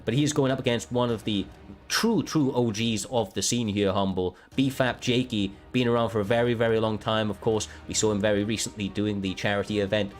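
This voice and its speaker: A man commentating a live fighting game match, excitable and losing his voice. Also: on the low side, fairly quick. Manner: excitable, swears when surprised. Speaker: esports commentator. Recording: music playing behind.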